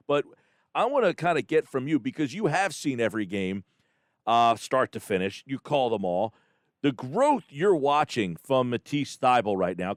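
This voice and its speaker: A man's voice: mid-range voice, clear, resonant tone, no echo, studio quality. A man hosting sports talk radio in a conversational style, leading an interview.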